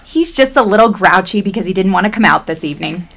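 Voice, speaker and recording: A woman acting in a radio play as a sweet but gently bossy American wife, chirpy and coaxing. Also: mid-range voice, animated, quick pace, gravelly. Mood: chatty.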